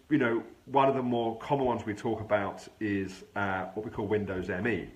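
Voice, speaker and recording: A man who has a cold, sounding nasal and gravelly, explaining a thought pattern in a measured, informal live stream. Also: lowish voice, rough recording. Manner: measured, explains with everyday examples. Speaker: health clinic practitioner hosting a live stream.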